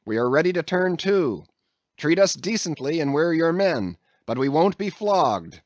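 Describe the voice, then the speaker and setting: A man's voice: mid-range voice, very animated, moderate pace, no room sound. A man voicing a blunt, defiant sailor who leads a group of mutineers. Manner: blunt, pleading and threatening by turns.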